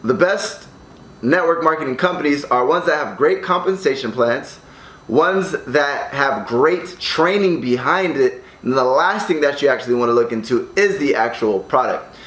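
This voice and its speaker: An American man speaking straight to his audience in an energetic, salesy way, often tagging his sentences with "right?", recorded in his condo. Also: mid-range voice, moderate pace, echoey room, low-quality recording. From United States. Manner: energetic, upbeat, salesy, with frequent "right?" tags. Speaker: online business vlogger. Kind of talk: casual direct-to-camera vlog. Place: condo room.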